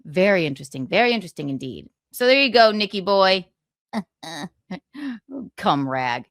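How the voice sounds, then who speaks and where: A woman's voice: fairly low pitch, very animated, normal speed, a bit bright, no room sound, studio quality. A woman streamer reads from a book aloud and breaks off for sarcastic comments and chuckles. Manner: sarcastic asides, frequent chuckles; thanks viewers for donations mid-read.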